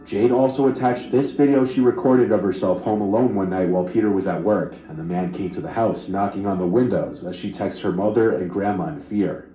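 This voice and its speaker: Dark-voiced man narrating creepy stories. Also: on the low side, a little fast, few pauses, reverberant, highs cut off. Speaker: horror story narrator. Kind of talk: scripted narration of a creepy true story. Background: music.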